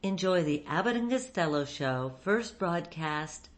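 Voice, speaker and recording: A woman with a low-pitched voice hosts a radio programme. She reads scripted introductions to vintage shows. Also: animated, unhurried. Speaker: radio show host.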